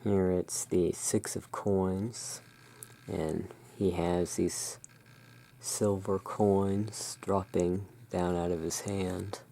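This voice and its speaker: A hushed, soothing and unhurried voice in an ASMR roleplay, playing the owner of a gothic curiosity shop. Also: deep voice, level tone, vocal fry. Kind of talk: ASMR roleplay, showing tarot cards.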